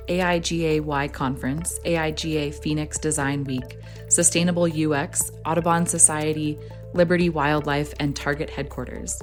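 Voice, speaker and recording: Low-voiced upbeat woman host. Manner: upbeat, affirming. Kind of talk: reading an introduction, then interviewing. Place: outdoors in a desert botanical garden.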